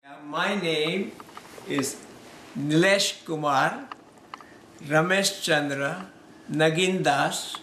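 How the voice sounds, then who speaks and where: A man's voice: fairly high pitch, extremely slow, pauses often, pushed and bright, slight background noise. A man in his seventies with an Indian accent, answering in a playful, amused way. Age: 70s. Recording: echoey room, faint ambience.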